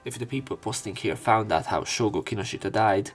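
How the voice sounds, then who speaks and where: Low, slightly gravelly man narrating a game. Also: fairly quick. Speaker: let's play narrator and commentator. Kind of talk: reading visual novel text aloud with casual asides. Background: faint game music.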